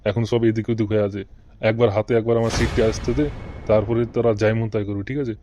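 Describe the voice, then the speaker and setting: A man playing a bossy, foul-mouthed small-town politician in a comedy cartoon, gruff and scolding in coarse slang. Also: fairly low pitch, level tone, quick pace, mellow, slight background noise, natural voice. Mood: bossy, grumbling. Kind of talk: Bengali comedy cartoon dub.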